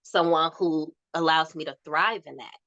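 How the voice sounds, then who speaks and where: A young woman with a soft voice telling stories in a candid podcast chat, acting out quotes as she goes. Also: low voice, normal speed, dry recording, muffled recording. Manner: animated storytelling with laughs and acted-out quotes.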